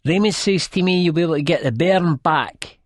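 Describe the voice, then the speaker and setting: A man's voice: a little high, a little fast, dry recording, clean studio sound, voice pushed up, close to an everyday voice. A man voicing a drunk, aggressive young Scottish woman in an audiobook, slurring and shrieking. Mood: drunk, mumbling.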